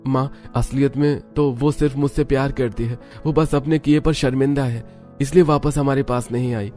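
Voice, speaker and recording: A man's voice: mid-range voice, steady tone, moderate pace, warm tone, soft background music, pitched above the performer's own voice, natural voice. A man voicing a heartbroken young husband pining for his wife, pleading and sorrowful in cartoon melodrama. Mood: pleading, regretful. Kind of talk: melodramatic cartoon story dialogue.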